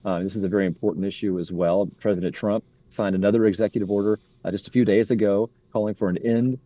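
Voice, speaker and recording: Deep, mellow man on muffled remote audio. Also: steady tone, no room sound. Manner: measured and upbeat, with filler "uh"s. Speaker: guest, legal advocate. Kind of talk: interview answers.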